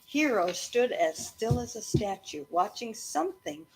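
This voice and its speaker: Slow-paced woman reading a storybook aloud, noisy background. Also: fairly high pitch. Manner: animated, engaging a young listener with asides. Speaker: reader reading a children's book aloud to a child. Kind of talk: expressive storybook read-aloud narration.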